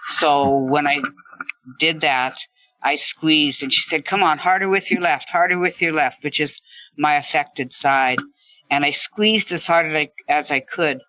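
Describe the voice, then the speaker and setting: A woman's voice: low-pitched, a little slow, pushed and bright, no room sound, low-quality recording. A woman speaking as an interview guest, telling personal anecdotes in a conversational, emphatic way. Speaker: interview guest, stroke survivor.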